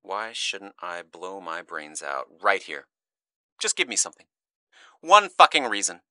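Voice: low-pitched, very animated, a little slow, clear, resonant tone, dry recording, exaggerated voice. An actor playing a despairing, unhinged zealot who delivers brooding, menacing monologues in a horror audio drama. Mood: desperate, menacing. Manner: brooding monologue swinging from hushed to explosive.

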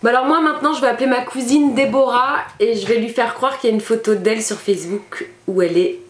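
Young woman vlogger, kinda high voice, chatty n playful, roomy echo. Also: fast talker. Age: young adult. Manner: playful, animated. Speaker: vlogger and mother. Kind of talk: casual chatty family prank video. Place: home kitchen, indoors. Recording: echoey room, noticeable hiss.

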